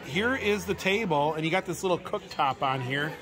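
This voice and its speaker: A man's voice: a little high, normal speed. A man in his forties giving a chatty, casual walkthrough of a restaurant meal at the table, repeating words and laughing often. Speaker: travel vlogger. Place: busy restaurant table indoors. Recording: crowded restaurant chatter behind.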